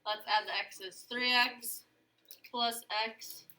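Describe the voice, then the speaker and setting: A woman's voice: a little high, fairly even intonation, slow pace, bright, forceful tone. A woman teaching a geometry class, upbeat and coaxing her students with questions. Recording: echoey classroom, mic at a distance.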